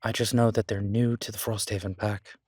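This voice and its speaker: A man playing a shy, devoted chef boyfriend in an intimate audio roleplay, speaking in a halting, self-deprecating, affectionate way. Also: fairly low pitch, level tone, darkish tone, slightly rough, no echo, clean studio sound. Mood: wry, gossipy. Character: Beau, warm, shy, flustered male chef and devoted boyfriend.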